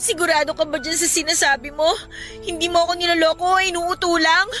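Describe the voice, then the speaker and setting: An anxious young college girlfriend in a Filipino radio drama. Her voice is very high and bright, snappish and accusing, with faint music underneath. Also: little pitch movement, quick pace. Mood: doubtful.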